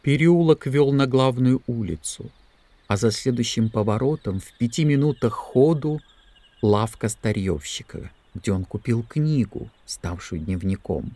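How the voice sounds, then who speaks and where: A man narrating an audio drama from a script in measured, deliberate phrases. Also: medium pitch, animated, warm tone, no echo.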